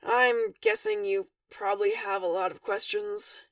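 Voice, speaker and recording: A snappy teenage boy acted by a woman, awkward and defensive, in a storybook reading. The delivery is slangy and blunt, with lively pitch and a creaky edge. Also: a little slow, no echo, distant mic, heavily acted. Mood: awkward, apologetic.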